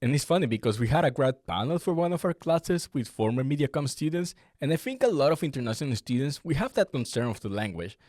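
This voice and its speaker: Lively-voiced male interviewer. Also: mid-range voice, quick pace, hardly any pauses, no echo, studio quality. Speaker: podcast host, student interviewer. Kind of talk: interview questions.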